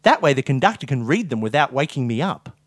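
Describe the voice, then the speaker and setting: A man's voice: medium pitch, big pitch swings, quick pace, no room sound, clean studio sound. A man voicing a polite, earnest young female muskrat in a comic story, mild and plain-spoken.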